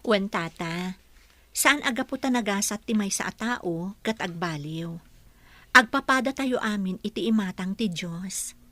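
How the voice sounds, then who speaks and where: A woman acting a gentle, devout mother and wife in a radio drama. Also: mid-range voice, lively intonation, a little slow, no room sound. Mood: kindly, earnest.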